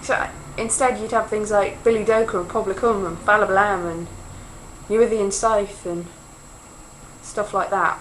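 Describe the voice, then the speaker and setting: A woman from Wales talks to camera in a loose, wandering monologue, poking fun at herself with jokey asides. Her pitch sits mid-range for a woman, and a faint hiss of background noise runs under her voice. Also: fairly even intonation, moderate pace, low-quality recording. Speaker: vlogger. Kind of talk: rambling casual monologue.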